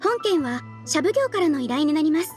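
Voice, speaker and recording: A very high, youthful female voice plays a courteous guild receptionist in a video game. The delivery is formal, businesslike and earnest, with music playing underneath. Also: big pitch swings, fast. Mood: polite, earnest. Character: polite, earnest young woman guild receptionist. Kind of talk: Japanese game dub, quest briefing dialogue.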